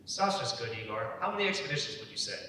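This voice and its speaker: A man's voice: very animated, fast talker, pushed and bright. A man acting a sociable, teasing man in his twenties in a staged dramatic reading, recorded distantly in an echoey hall. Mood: keen. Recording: echoey hall, far from mic, rumbly noise.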